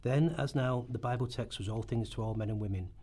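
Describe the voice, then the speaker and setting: A man's voice: medium pitch, a little fast, mellow. A man reading scripted historical narration as the narrator of a radio play.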